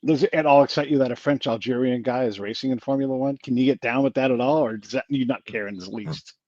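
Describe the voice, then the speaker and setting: A man hosting a casual interview, chatty and quick, laughing easily. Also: medium pitch, a little mellow, dry recording, dull audio. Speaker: podcast host.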